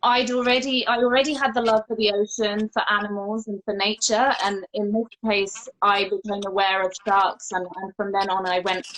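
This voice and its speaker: Young female guest from France, mid-pitched, conversational, keen and sincere. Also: rough recording. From Brittany, France. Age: young adult. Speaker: podcast guest, marine researcher. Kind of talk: casual conversational interview.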